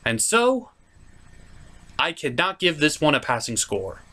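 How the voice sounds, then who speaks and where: A young man hosting a comedic cartoon review, theatrical and opinionated as he riffs. His voice sits a touch high and swings widely in pitch. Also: sing-song, a little slow, sharp tone.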